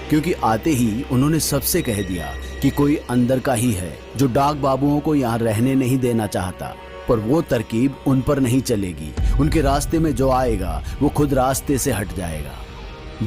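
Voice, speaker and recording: A man narrating a scripted horror story dramatically, drawing out phrases for suspense, with music behind him. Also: mid-range voice.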